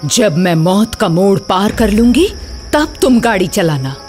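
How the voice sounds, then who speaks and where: A woman's voice: medium pitch, sing-song, a little slow, darkish tone, faint music behind. A woman voicing a menacing, bossy ghost woman in a cartoon horror story, speaking in a commanding, insistent way. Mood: scornful, warning. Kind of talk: Hindi animated horror story voice acting.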